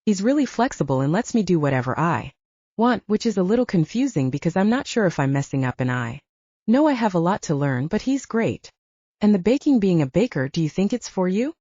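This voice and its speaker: A woman's voice: on the low side, mellow, no echo. A woman narrating a first-person audiobook in a hesitant, self-conscious voice. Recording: slightly dull lo-fi audio.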